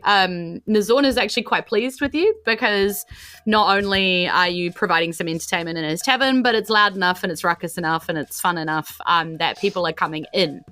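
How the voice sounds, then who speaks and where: A woman's voice: lowish voice, pushed and bright. A woman, casually narrating a tabletop game podcast as game master over music. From New Zealand.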